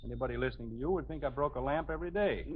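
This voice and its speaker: A man's voice: medium pitch, racing, close to an everyday voice. An actor playing a flustered, grumbling middle-aged husband and father in the style of a 1950s radio sitcom, hemming and blustering. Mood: exasperated, defensive. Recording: muffled old 1950s radio broadcast, faint noise.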